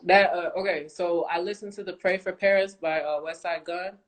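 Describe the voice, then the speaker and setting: A woman's voice: fairly low pitch, steady tone, moderate pace. Informal, slangy woman hosting a chat. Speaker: podcast host, interviewer. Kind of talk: casual chat.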